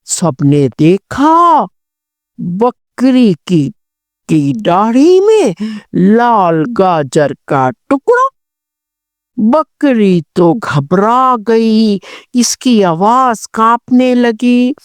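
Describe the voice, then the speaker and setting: Female children's storyteller: higher voice, lively swings in pitch, expressive scripted reading, dry close sound. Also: extremely slow, no room sound. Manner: expressive, addressing children directly.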